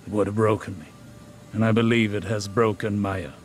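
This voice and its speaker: An actor playing a solemn, reflective man devoted to his love, speaking gravely and eloquently in scripted game dialogue. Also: lowish voice, steady tone, brisk, faint music behind, natural voice. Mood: reflective, pained.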